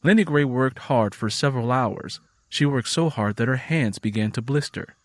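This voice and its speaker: A man's voice: medium pitch, moderate pace, no room sound. A man reading a dramatic audiobook story as its narrator, in scripted prose.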